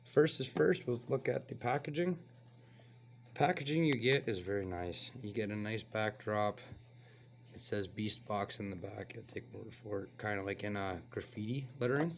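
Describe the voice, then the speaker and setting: A man with a hoarse voice from a cold, hosting a casual toy review and chatting as he unboxes. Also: lowish voice, normal speed. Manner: relaxed, chatty, explains as he handles the toy. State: hoarse, getting over a cold. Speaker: toy reviewer, channel host.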